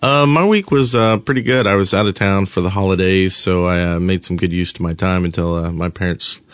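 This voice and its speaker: A man with a lowish voice chats informally as a podcast co-host, recounting gaming anecdotes. The recording is dry, with little room in it. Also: fairly even intonation, a little fast, no room sound. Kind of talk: casual anecdotal gaming chat.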